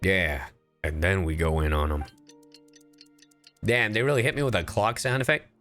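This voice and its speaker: A man's voice: deep voice, normal speed, fairly bright tone, soft background music. A man doing casual, playful and goofy livestream commentary on a game. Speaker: streamer and voice actor.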